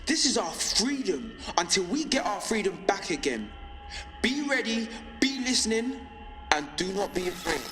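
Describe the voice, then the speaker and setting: A man playing a young pirate-radio rebel, fearful but defiant, in a measured, emphatic dramatic monologue. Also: high-pitched, sing-song, bright, forceful tone, full character voice. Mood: solemn, defiant. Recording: long reverb, music underneath.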